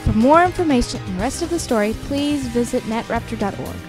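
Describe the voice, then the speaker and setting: A woman announcer reads the closing credits in a smooth, formal manner. Her voice sits fairly high, and music plays clearly underneath. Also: lively intonation, brisk. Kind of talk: scripted credits reading.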